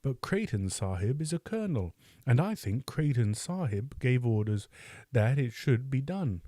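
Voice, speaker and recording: A man voicing a proud, quick-tempered teenage boy in an audiobook, speaking in indignant, boastful outbursts. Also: mid-range voice, sing-song, mellow, no room sound, clean studio sound. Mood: angry. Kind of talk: audiobook character voice.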